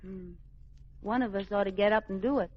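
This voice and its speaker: An actress playing an affectionate, teasing wife in a 1940s radio sitcom. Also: female voice, mid-range voice, a little fast, natural voice. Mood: weary, nagging. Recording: dull, noisy old radio recording.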